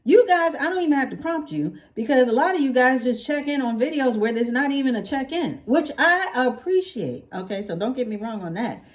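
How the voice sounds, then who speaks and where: A woman's voice: high-pitched, expressive, fairly quick, hardly any pauses. A woman hosting her own vlog, chatting casually to her viewers and playfully stretching out catchphrases for emphasis. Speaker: hair vlogger hosting her own channel.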